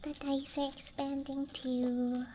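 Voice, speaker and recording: A woman whispering softly and breathily in a rambling, hesitant ASMR show-and-tell as a friendly dice goblin, close to the microphone. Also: high-pitched, little pitch movement, slow pace, background noise. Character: friendly dice goblin hoarding her treasures. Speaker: ASMR performer. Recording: close mic, audible ambient night sounds.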